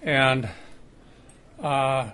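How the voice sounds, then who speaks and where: An older man giving an informal reminiscing speech at a club luncheon, hesitant and halting. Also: medium pitch, fairly even intonation, sharp tone, clear, resonant tone. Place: restaurant luncheon room. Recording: faint room noise.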